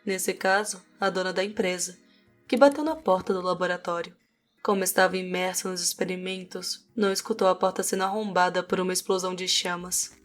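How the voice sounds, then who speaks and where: A woman's voice: fairly low pitch, steady tone, a little fast. A Brazilian woman reading scripted narration for a fantasy audiobook. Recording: music behind the voice. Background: music.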